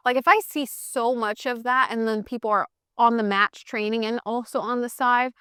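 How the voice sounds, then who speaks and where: Casting note: higher, bright-toned woman from Hawaii, Pidgin first language, opinionated podcast co-host, close dry sound. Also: moderate pace, clear, resonant tone, dry recording. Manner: chatty, opinionated, animated. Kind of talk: casual chat.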